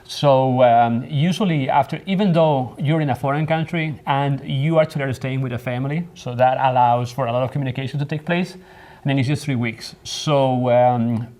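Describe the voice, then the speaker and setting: Man, 30s, from Spain, professor, thoughtful, measured, medium pitch, studio interview. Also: a little mellow. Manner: thoughtful, measured, with frequent ums and uhs. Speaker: Spanish professor. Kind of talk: interview answers.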